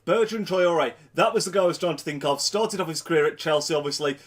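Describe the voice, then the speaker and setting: A man's voice: a little high, fast. A young man with a blocked nose from a cold, talking through a football match preview in a chatty vlog, doubling up words for emphasis. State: congested with a cold. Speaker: football fan vlogger.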